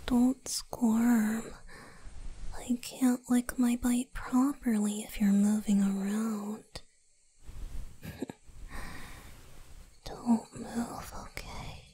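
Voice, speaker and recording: Girl doing a soft vampire boyfriend for asmr, slow breathy whispering, gentle and sorry, kinda halting. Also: on the high side, level tone, heavily acted, low-quality recording. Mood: tender, coaxing. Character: gentle, apologetic young male vampire boyfriend. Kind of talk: whispered ASMR romantic roleplay.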